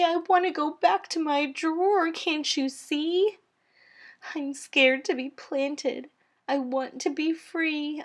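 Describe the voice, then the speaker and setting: A tiny, terrified seed from a children's storybook, acted out by a woman. His voice is pitched up high, pleading and anguished. He speaks with dramatic, fearful urgency. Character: frightened little male seed, pleading and anguished.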